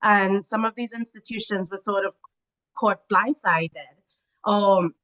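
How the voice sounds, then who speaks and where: A woman's voice: medium pitch, steady tone, measured pace, fairly bright tone. A woman from Trinidad explaining her field as an expert guest in an interview. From Trinidad, Caribbean. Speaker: guest, corporate treasury and risk expert. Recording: dull, band-limited call audio.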